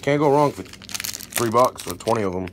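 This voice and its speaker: A man's voice: medium pitch, expressive, normal speed. A man casually narrating an unboxing vlog as the creator, indoors at a table. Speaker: fishing video creator. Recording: noticeable background noise.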